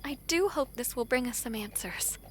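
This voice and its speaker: A woman playing a gentle, affectionate princess in a romantic fantasy audio drama, with formal, tender, courtly phrasing. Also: fairly high pitch, animated, moderate pace, slight background noise, lightly acted, low-quality recording. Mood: delighted, grateful. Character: gentle, affectionate foreign princess, gracious and wistful.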